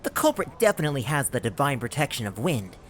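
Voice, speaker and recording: A woman's voice: rumbling low, sing-song, quick pace, a little hiss or hum. A woman playing a tiny, androgynous talking cat spirit with a breezy, teasing manner in an audio drama dub.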